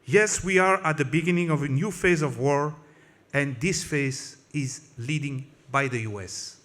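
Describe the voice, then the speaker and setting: French guy speechifying in english at a conference, heated, stumbles on words a lot, fairly high voice. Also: a little slow, fairly bright tone. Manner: impassioned, rhetorical, with frequent slips and restarts. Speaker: conference speaker. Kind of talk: political speech.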